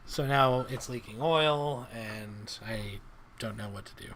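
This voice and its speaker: A man's voice: mid-range voice, takes it slowly, a crowd in the background. A man co-hosting a casual podcast, telling a story in a laid-back way and laughing often. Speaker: podcast co-host. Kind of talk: casual chat.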